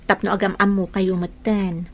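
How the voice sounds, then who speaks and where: A woman's voice: mid-range voice, flat delivery, moderate pace, darkish tone, lightly acted. A woman acting a sweet, reassuring young woman in love in a radio-style drama. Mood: respectful, cheerful.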